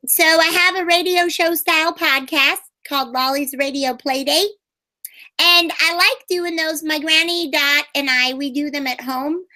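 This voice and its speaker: A woman's voice: high voice, bright, forceful tone, pitched above the performer's own voice, full character voice. A woman voicing a bubbly, chatty young puppet girl in a sunny, sing-song manner during an interview.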